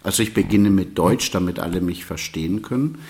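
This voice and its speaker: A man from Peru whose first languages are English and Spanish introduces himself as an integration guide, slowly and with frequent hesitations. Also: sits low, darkish tone. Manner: slow, measured, with frequent hesitations. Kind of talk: personal self-introduction.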